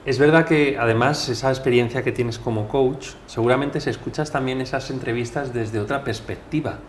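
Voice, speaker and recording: A man's voice: mid-range voice, brisk, slight background noise. A man hosting a podcast, speaking in a conversational interview style as he introduces and questions his guest.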